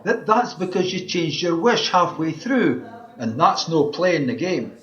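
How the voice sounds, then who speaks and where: On a slightly dull, lo-fi track, a man acts a wry, exasperated Scottish granter of wishes in a radio play. His voice is high for a man, with swooping intonation. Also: lively intonation, a little slow, a bit bright. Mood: wry, patient. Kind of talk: amateur radio play acting.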